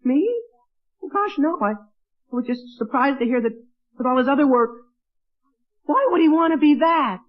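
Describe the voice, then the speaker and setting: An actor playing a flustered, evasive teenage son who stammers, in 1940s radio sitcom style. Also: male voice, extremely high pitch, expressive, moderate pace, long pauses. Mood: nervous. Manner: stammering, evasive, exclaiming gee whiz. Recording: tinny band-limited old radio recording.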